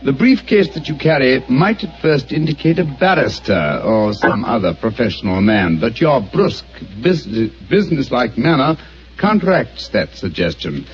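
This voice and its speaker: An actor playing a calm, sharp male detective who talks with precise, dryly ironic deduction in an old radio drama. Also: medium pitch, normal speed, close to an everyday voice. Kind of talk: 1940s radio acting. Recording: dull old radio broadcast.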